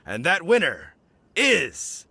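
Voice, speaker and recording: Slow, grandiose contest judge. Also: male voice, on the high side, wildly swooping pitch, gravelly, background noise, heavily acted. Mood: ceremonious, building suspense. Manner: grandiose, dramatic pauses. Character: grandiose, food-loving male contest judge. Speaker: competition judge. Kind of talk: video game voice acting.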